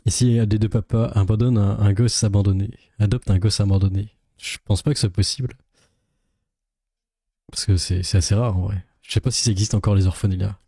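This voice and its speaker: Dark-voiced sardonic Frenchman, low and dry. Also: steady tone, fairly quick, husky, no room sound. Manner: sardonic, complaining. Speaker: streamer, reaction host. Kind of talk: casual live commentary on a video.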